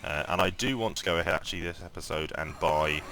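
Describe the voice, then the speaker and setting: A man with a croaky morning voice giving casual gameplay commentary, thinking aloud and rambling with lots of ums and restarts. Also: low-pitched, fast talker, fairly bright tone, a little hiss or hum. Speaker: mobile game let's-play host.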